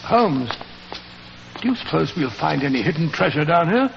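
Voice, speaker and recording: An actor playing a loyal, blustery, older British companion to a detective in 1940s radio drama. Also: male voice, fairly high pitch, sing-song, moderate pace, gravelly. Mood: curious. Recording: muffled, noisy 1940s radio recording.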